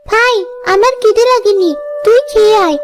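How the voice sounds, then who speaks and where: A meek, motherless village girl from a cartoon fairy tale, voiced by a woman. The voice is extremely high, childlike and plaintive, always pleading softly. Music is clearly heard behind it. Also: moderate pace. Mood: meek, weak. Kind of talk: cartoon moral-story dialogue.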